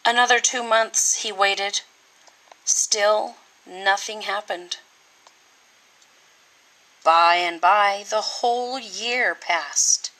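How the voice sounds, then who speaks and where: A woman reading a children's storybook aloud with deliberate, expressive, dramatic phrasing. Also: medium pitch, rough recording. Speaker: teacher reading a picture book.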